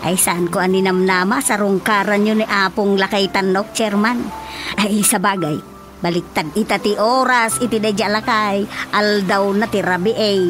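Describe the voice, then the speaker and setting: A woman's voice: mid-range voice, steady tone, normal speed, lightly acted. A woman with a Filipino accent playing a chatty, teasing village caretaker in a radio drama comedy, giggly and full of exclamations. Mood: amused, chatty. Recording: radio drama with music underneath. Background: clear music.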